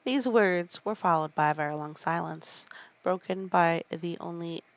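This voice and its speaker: A woman's voice: on the low side, normal speed, lo-fi sound. A drowsy woman reading a children's classic aloud as a bedtime story, drifting toward sleep.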